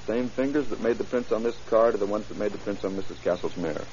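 An actor in a 1940s-style radio drama playing a wisecracking, grumbling police fingerprint expert with a sardonic manner. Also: male voice, lowish voice, darkish tone, close to an everyday voice. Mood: matter-of-fact. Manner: wry, sardonic, drawn-out teasing. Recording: hissy, muffled old radio recording.

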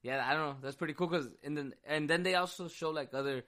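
A man co-hosting a casual podcast chat, relaxed and loose, filling gaps with "yeah" and "dude". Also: a little high, fast talker. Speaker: podcast co-host.

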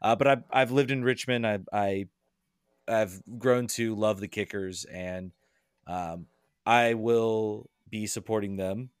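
A man guesting on a casual podcast. His voice sits fairly low and sounds close and dry, with no room around it. He talks in halting bursts, restarting phrases and chuckling at himself. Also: steady tone, clean tone, dry recording. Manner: halting, many restarts and self-deprecating laughs.

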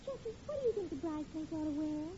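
An actress playing an eager, coy young American bride-to-be in 1940s radio comedy style, cooing and insistent. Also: female voice, high-pitched, big pitch swings, very fast. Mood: bubbly. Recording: muffled, noisy old radio recording.

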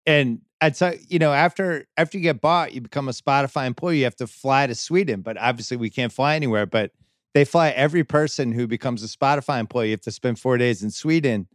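Podcast host guy, jokey and chatty, quick questions, dry close sound. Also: mid-range voice, dry recording. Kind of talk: casual interview chat.

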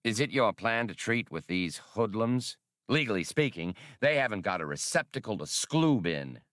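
A man's voice: fairly low pitch, expressive, normal speed, fairly bright tone, no room sound, clean studio sound. A man voicing an indignant, dignified alien in an audiobook, with a pompous, legalistic manner. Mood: outraged. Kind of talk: audiobook character acting.

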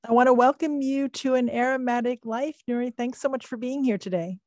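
A woman's voice: on the high side, fairly even intonation, brisk, a little mellow, faint music behind. A middle-aged woman hosting a podcast, reading a scripted intro and then chatting with a guest in an upbeat, enthusiastic way. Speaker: podcast host.

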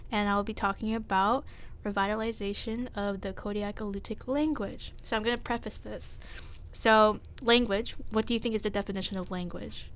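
A woman's voice: medium pitch, a little hiss or hum. A young woman hosting a casual student podcast, chatty and often restarting her sentences. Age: young adult. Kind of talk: casual classroom-style podcast chat.

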